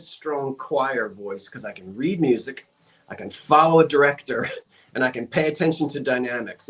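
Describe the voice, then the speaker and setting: A man's voice: medium pitch, a bit bright, slightly rough. A man hosting a live-stream glass sale, chatting casually with viewers, with lots of fillers and self-corrections. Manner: chatty, rambling, reads out viewer comments. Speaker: live-stream auction host.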